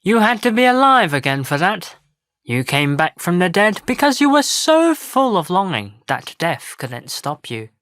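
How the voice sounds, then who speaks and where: A woman acts a proud, meddling flower fairy from a stage play. The voice is lowish and moves widely in pitch, assured and matter-of-fact, in a dry recording. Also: sing-song, unhurried, fairly bright tone, no echo.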